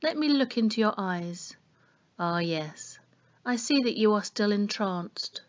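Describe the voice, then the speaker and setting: A woman voicing a gentle, solemn fortune-teller in a storybook, murmuring slowly and knowingly. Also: medium pitch, lively intonation, highs cut off, lightly acted. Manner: gentle, murmuring, slow and portentous. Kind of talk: children's storybook reading.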